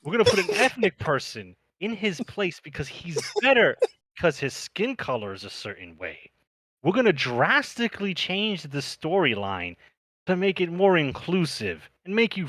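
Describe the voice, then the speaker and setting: A man's voice: medium pitch, pushed and bright, no room sound. A man co-hosting a podcast, ranting in an opinionated, sarcastic and emphatic way. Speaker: podcast host. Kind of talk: opinionated panel discussion.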